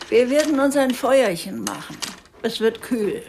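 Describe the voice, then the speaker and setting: A woman's voice: fairly high pitch, expressive, measured pace, background noise. Blunt, plucky old village woman. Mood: brisk. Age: older. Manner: blunt, folksy, self-assured. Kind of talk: radio drama acting.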